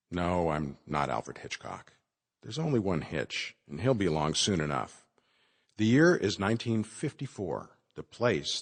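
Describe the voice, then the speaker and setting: Deep, theatrical suspense narrator. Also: male voice, vocal fry, slightly rough, no echo, heavily acted. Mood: arch, teasing suspense. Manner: arch, dramatic, emphatic. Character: wry, mock-suspense male host narrator in Hitchcock manner. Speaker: narrator of a radio play.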